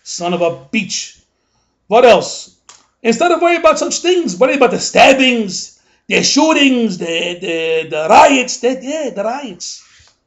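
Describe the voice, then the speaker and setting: A man doing a shrill, cranky old New Yorker. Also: very animated, a little slow, a bit bright, full character voice. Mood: ranting. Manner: rambling, indignant rant with frequent asides. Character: grumbling older male New Yorker, ranting about the mayor. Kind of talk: comic character monologue.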